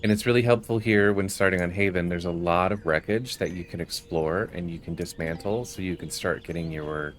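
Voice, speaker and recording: A man's voice: low-pitched, a little fast, few pauses, slight background noise. A man giving explanatory walkthrough commentary as the host of a gaming video. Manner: explanatory, reads game text aloud.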